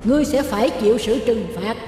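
A woman's voice: on the high side, fast, mellow, heavy reverb, big-room sound, lightly acted. A woman voicing a wrathful ruler of the gods in a cartoon, stern and commanding as judgement is pronounced. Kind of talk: animated fairy tale dubbing. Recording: music behind the dialogue.

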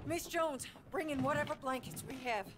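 A woman acts a brisk, bossy camp woman in a western video game. Her voice is high and commanding, set against clear crowd noise. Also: close to an everyday voice. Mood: brisk, ordering. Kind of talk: dramatic video game cutscene acting.

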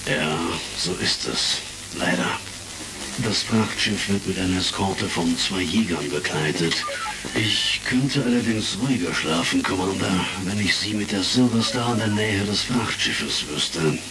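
An actor playing a worried, measured and authoritative intelligence chief in a German sci-fi audio drama. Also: male voice, low voice, expressive. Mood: concerned, official. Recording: distant, noisy retro crackle.

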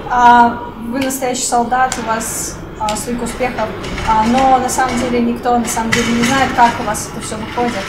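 Woman translating, lots of uh's, echoey hall w loud background. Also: fairly high pitch, level tone, fairly quick, hardly any pauses, very noisy. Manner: hesitant, searching for words with many fillers. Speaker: interpreter. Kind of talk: live interpreting.

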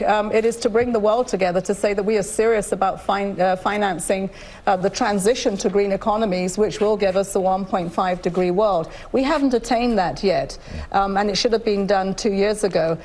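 A woman's voice: mid-range voice, a little mellow. Earnest middle-aged woman from Nigeria. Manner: earnest, measured, frequent fillers and restarts. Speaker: guest, UN official. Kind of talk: live TV interview answers. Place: studio, indoors.

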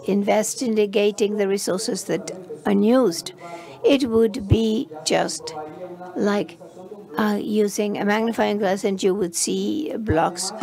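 A woman giving live simultaneous interpretation of a conference session, halting often and restarting phrases as she renders the speakers. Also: medium pitch, creaky, mellow. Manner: halting, frequent restarts and fillers while rendering speech.

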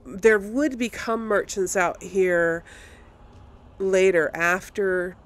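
Slow-talking woman doing game commentary. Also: medium pitch, steady tone. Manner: rambling, thinking aloud. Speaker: gamer, channel host. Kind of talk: casual let's play commentary.

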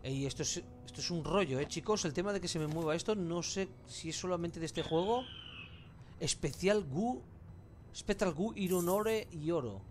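A man's voice: a little high, moderate pace, darkish tone, slight background noise. A man with an accent from Spain giving casual, colloquial gameplay commentary with mild swearing. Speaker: gamer, let's play host.

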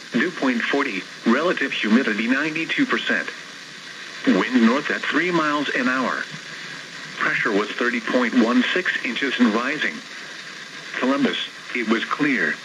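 Man, synthetic announcer, mid-pitched, formal scripted weather bulletin, measured delivery, through radio speaker, clear background noise. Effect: played through a radio speaker. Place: radio on a shelf in a small indoor room. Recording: noisy weather radio speaker recorded in room.

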